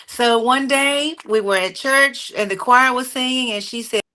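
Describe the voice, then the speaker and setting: Bright-voiced woman telling stories. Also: on the high side, unhurried, poor audio. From North Carolina. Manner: animated, warm storytelling with frequent fillers. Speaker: singer and interview guest. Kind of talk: conversational storytelling.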